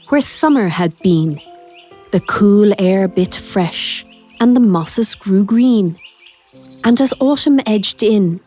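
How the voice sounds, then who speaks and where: Woman reading a children's story slowly over music. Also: mid-range voice, darkish tone. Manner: dramatic, deliberate storytelling delivery. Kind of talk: expressive read-aloud of a rhyming children's picture book. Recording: music behind the voice.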